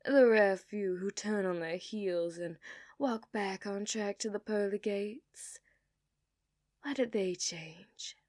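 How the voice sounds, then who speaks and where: A woman voicing an obsessive, dominant outlaw cowgirl in a put-on Southern accent, for an ASMR roleplay, teasing and dropping into soft whispers. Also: mid-range voice, takes it slowly, no echo, studio quality, close to an everyday voice. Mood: menacing. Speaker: ASMR performer.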